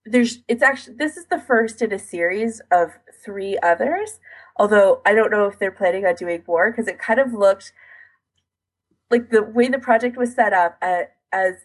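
Husky-voiced woman chatting casually. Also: medium pitch, low-quality recording. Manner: informal, frequent "like" as filler. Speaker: podcast co-host. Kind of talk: casual podcast chat.

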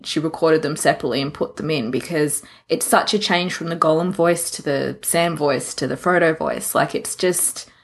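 A woman co-hosting a podcast in casual chat, giving recommendations in a playful, jokey way. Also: fairly low pitch. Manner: playful, jokey, prone to laughing. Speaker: podcast co-host. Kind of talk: casual chat, recommending fan fiction recordings.

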